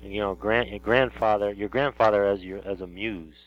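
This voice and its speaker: A man hosting a casual interview, talking in a rambling, halting way with many fillers and restarts. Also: lowish voice, fairly even intonation, dry recording. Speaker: interview host. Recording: dull lo-fi radio audio.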